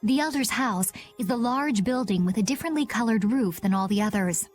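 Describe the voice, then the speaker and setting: A woman's voice: on the high side, lively intonation, a little fast, a little mellow, faint music behind, natural voice. A woman playing a poised, composed young adventurer in a video game, polite with a playful, teasing edge.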